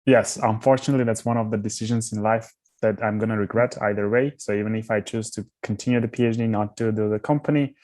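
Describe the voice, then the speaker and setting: Low-voiced young guy from armenia, founder on a call, stops and restarts a lot, dry sound. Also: fairly even intonation, fast talker, a little mellow, no echo. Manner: thoughtful, restarts words often. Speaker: startup founder, guest. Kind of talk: casual interview answers.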